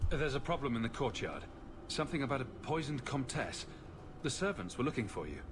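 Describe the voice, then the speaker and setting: An actor playing a sardonic, cool-headed adventurer in a video game, dry and sarcastic. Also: male voice, medium pitch, sing-song, fairly quick, noisy background, natural voice.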